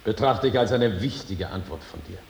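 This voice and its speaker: Low-voiced sly, blunt rogue judge. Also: male voice, animated, moderate pace, vocal fry, darkish tone. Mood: brusque, mocking. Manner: blunt, colloquial, probing. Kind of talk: 1950s German stage-style radio drama acting.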